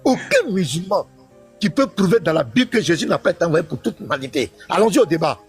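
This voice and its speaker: A man's voice: high voice, very animated, fast, husky, soft background music. A man, a pastor, arguing insistently in a heated live-stream debate, cutting in and repeating himself.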